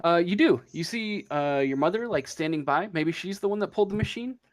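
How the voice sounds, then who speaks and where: A man narrating a tabletop role-playing game as game master, improvising scenes in a halting, hesitant way. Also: high-pitched, lively intonation. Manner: halting, hesitant, feeling for words.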